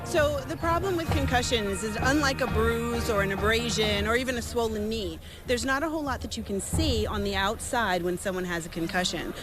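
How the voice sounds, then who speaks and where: A woman giving measured, clinical explanations as a sports medicine expert in an interview. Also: medium pitch. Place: high school football game. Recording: loud music behind.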